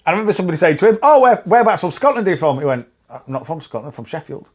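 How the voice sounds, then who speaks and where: A man's voice: a little high, sing-song, rapid-fire, breathy edge. A man co-hosting a podcast, chatting casually and telling anecdotes with frequent laughter. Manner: chatty storyteller, laughs often. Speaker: podcast co-host. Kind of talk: casual banter.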